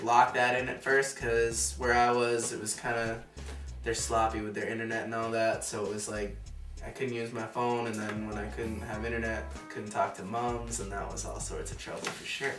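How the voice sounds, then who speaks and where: A man's voice: mid-range voice, level tone, moderate pace, a bit bright. A young man from Kansas talking in a laid-back, slangy way about his time abroad. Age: young adult. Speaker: college student. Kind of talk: casual interview testimony. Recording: distant mic in an echoey room with faint music.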